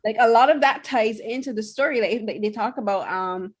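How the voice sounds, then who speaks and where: A woman's voice: fairly high pitch, fairly quick. A young woman from Barbados co-hosting a podcast, chatting casually about shows she watched, with lots of fillers and restarts. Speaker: podcast co-host.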